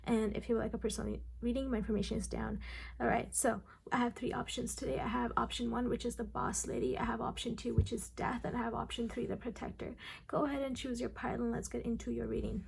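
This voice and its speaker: Hoarse raspy woman reading tarot. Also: fairly high pitch, flat delivery, fast talker, darkish tone, rough recording. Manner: chatty, addresses viewers directly with frequent ums. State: hoarse from losing her voice. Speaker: tarot card reader. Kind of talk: casual pick-a-card tarot reading.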